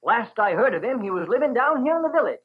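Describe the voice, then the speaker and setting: Male storyteller as courteous old seaman, folksy and weary, raised pitch, muffled vintage radio sound. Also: sing-song, fast talker, husky, heavily acted. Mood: wistful, weary. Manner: folksy, polite, old seafaring talk. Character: weary, courteous old sailor, about eighty. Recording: dull 1940s radio recording.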